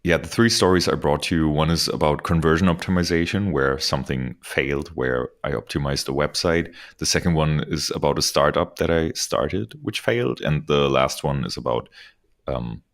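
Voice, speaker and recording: Casting: podcast co-host, male, extremely low voice, jokey and easygoing. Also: normal speed. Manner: joking, laughs often. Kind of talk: casual podcast chat. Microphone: podcast microphone over video call.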